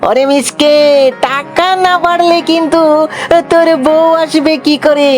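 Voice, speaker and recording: A woman voicing a naive, lazy male fox in a cartoon, chattering excitably and repeating words in a whiny, eager way. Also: high voice, unhurried, faint music behind. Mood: dismayed, determined. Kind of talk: Bangla cartoon voice acting.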